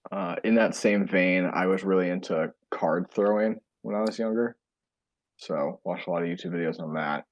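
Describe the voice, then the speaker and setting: A man from Illinois with a slight Chicago accent, co-hosting a podcast, measured and a bit defensive in casual chat. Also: sits low, no room sound. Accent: Chicago area. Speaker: podcast co-host.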